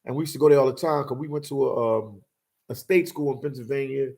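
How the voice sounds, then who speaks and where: A man's voice: mid-range voice, quick pace, darkish tone. A man in his forties hosting a casual livestream chat, telling stories in a laid-back way and dropping "man" and "you know" often. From Philadelphia. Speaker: host.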